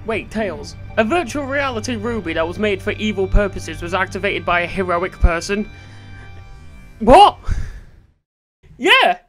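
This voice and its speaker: A woman voicing a sassy, excitable cat hero in a comedic fan dub, playful and sarcastic. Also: medium pitch, unhurried, pauses often. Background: faint game music.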